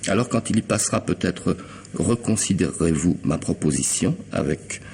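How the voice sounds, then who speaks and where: An actor playing a sardonic, half-mad hired killer in a French radio mystery, mocking and unhurried in his false courtesy. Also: low voice, expressive, mellow, a little hiss or hum. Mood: teasing menace.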